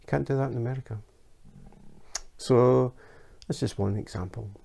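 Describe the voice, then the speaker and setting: A Scottish man hosting a casual how-to craft vlog, chatty and relaxed, with frequent ums and uhs. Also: mid-range voice, moderate pace, creaky. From Scotland. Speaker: YouTube craft channel host. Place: his home in Denmark.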